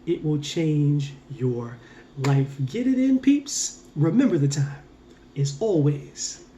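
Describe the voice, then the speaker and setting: A man talking as a mindset coach, giving an earnest, casual motivational talk to camera, rising on key points and slowing down to stress his words. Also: fairly high pitch, lively intonation, warm tone, slight background noise. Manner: earnest, repetitive, emphatic with drawn-out slow endings.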